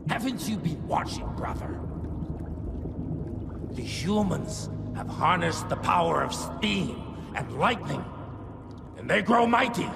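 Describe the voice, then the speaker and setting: Slow, theatrical, creaky vampire lord. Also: male voice, fairly high pitch, lively intonation, exaggerated voice. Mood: menacing pride. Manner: theatrical, grandiloquent, deliberate. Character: scheming, eloquent male vampire lord, coldly ambitious. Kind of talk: dramatic video game cutscene acting. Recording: long reverb under loud music.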